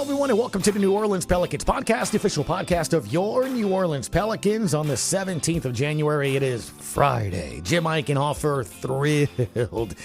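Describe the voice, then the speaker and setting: A man hosting an upbeat sports podcast, talking conversationally in punchy phrases and tossing out questions. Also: on the high side. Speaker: podcast host.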